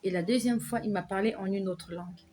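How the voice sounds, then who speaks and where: A woman giving an earnest devotional exhortation to her viewers, repeating phrases slowly and letting her voice sink soft at the ends of lines. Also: medium pitch. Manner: earnest, repetitive, slow emphatic phrasing. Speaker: online preacher.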